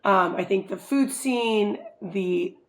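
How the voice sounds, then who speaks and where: A woman hosts a podcast interview. She talks in an enthusiastic, chatty way and keeps things friendly and lively in her words. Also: mid-range voice, slow. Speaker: podcast host. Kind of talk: casual interview chat.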